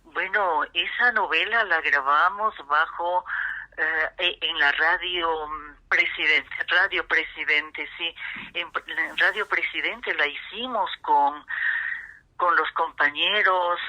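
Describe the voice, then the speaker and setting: A woman in her seventies with an Ecuadorian highland accent, reminiscing as an interview guest in an emphatic, repetitive way. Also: slow pace, bright, forceful tone, low-quality recording. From Ecuador, grew up in Riobamba. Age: 70s. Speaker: interview guest, veteran radio actress.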